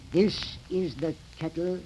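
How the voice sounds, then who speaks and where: An actor playing a sinister, pompous occult professor in deliberate, portentous 1930s radio horror style. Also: male voice, on the high side, steady tone, mellow. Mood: ominous, portentous. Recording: noisy old 1930s radio recording.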